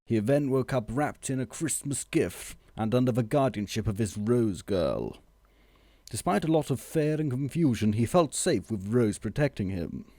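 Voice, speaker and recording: A man reading a scripted comic story as narrator, measured and deadpan. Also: mid-range voice, no echo.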